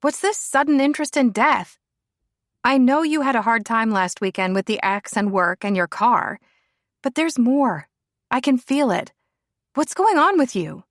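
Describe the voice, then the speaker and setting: A woman's voice: fairly high pitch, lively intonation, measured pace, no room sound, voice pushed up. A woman voicing a worried young woman pressing her close friend with earnest questions.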